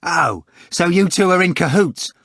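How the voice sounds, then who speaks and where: A man voicing an agitated young poet who shouts in a menacing, furious way. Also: sits high, lively intonation, a little slow, no echo, clean studio sound, voice pushed up, exaggerated voice. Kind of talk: audiobook character voice.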